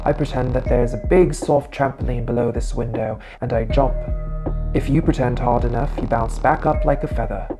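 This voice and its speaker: A woman voicing a small, sly monster in an animated horror story, coaxing and secretive as it poses as a child's playmate. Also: a bass voice, normal speed, music in the background. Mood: coaxing, persuasive.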